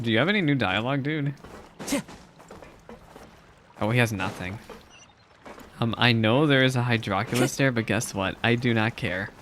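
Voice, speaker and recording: A woman's voice: very deep voice, a bit bright. A woman doing casual live game commentary as a streamer, chatty and informal in her reactions. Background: faint game music.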